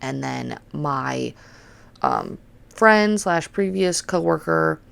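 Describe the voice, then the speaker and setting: A woman in her thirties hosting a casual solo podcast, blunt and swearing as she rants, her voice hoarse and congested. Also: low voice, expressive, slow, fairly bright tone. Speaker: podcast host.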